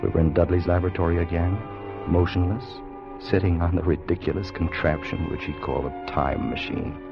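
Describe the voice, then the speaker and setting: An actor playing an earnest, protective time traveller who narrates with solemn, theatrical intensity in a 1950s radio drama. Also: male voice, low-pitched, steady tone, a little fast, vocal fry. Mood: haunted. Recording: muffled vintage radio recording with music.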